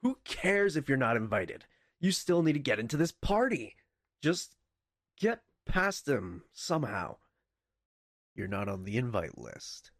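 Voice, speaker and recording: A man playing a wry, bossy headquarters dispatcher in a video game, giving deadpan official orders with goofy, sarcastic asides. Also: mid-range voice, unhurried, dry recording, clean studio sound, full character voice. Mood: impatient, stern. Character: wry, bossy, easily exasperated male headquarters dispatcher sending prisoners on missions. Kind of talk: video game mission briefing voice lines.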